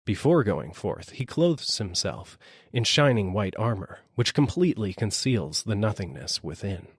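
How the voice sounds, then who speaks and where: A man's voice: on the low side, slightly rough, dry recording, studio quality. A man reading a literary story aloud as a scripted audiobook narration. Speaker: audiobook reader.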